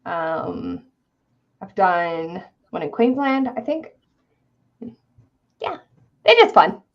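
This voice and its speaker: A woman's voice: on the low side, big pitch swings, very slow. A woman co-hosting an online livestream, chatting casually over a video call. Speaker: co-host of online reading sprints. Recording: dull lo-fi video call audio.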